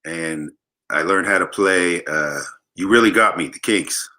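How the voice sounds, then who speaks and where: A man from Los Angeles talks in a low voice with a sharp, bright edge. He jokes playfully and tells rambling stories. He speaks casually on a video call. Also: unhurried. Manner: joking, playful, rambling storyteller. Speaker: guest bassist. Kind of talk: casual interview chat.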